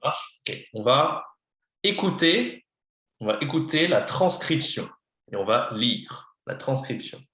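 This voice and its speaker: A man teaching French on a video call to a beginner, switching between English and French and guiding slowly and patiently. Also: medium pitch, expressive, sharp tone, echoey room. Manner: patient, slow, coaching a beginner. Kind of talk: online lesson, mixing English and French.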